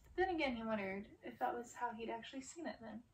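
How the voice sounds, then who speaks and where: A woman's voice: on the high side, animated, fast. A woman reading a fairy-tale novel aloud in audiobook style. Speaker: reader of a story. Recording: distant mic with clear background noise.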